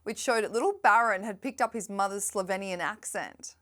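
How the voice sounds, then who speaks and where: A young adult woman presenting a scripted news roundup in a brisk, lightly sardonic manner. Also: mid-range voice, fairly bright tone.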